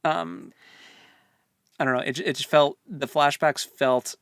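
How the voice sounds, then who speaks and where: A man with a mid-range voice talks as a podcast co-host in a dry, close-sounding recording. He works through his opinions loosely in relaxed, informal book discussion. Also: medium pitch, takes it slowly, smooth, no room sound.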